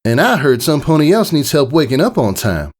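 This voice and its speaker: A hearty cowgirl farm mare acted by a man, lifted well above his usual pitch. She speaks plainly in a folksy Southern American drawl, in the manner of a storybook character. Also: sing-song, a little fast. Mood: flat, irritable.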